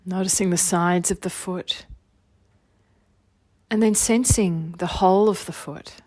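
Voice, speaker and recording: A woman leading a guided meditation as a teacher, giving gentle, soothing body-scan instructions in an unhurried way. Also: on the low side, dry recording. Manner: soothing, unhurried, gentle instruction with long phrases trailing softly.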